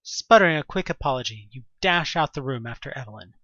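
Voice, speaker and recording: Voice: medium pitch, animated, fast talker, fairly bright tone, no room sound, highs cut off. A narrator reading a scripted second-person story for an audio drama.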